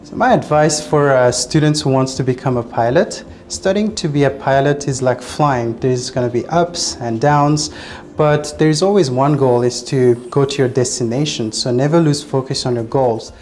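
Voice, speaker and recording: A man's voice: mid-range voice, moderate pace, darkish tone, faint music behind. A man from Madagascar, a flight instructor, speaking earnestly with frequent hesitant fillers. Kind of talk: testimonial interview.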